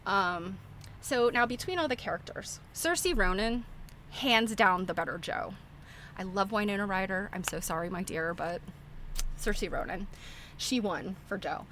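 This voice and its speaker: A woman's voice: mid-range voice, unhurried, fairly bright tone, slight background noise. A middle-aged woman hosting a livestream, chatting informally and giving opinionated, rambling film commentary. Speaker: livestream host. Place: live internet stream.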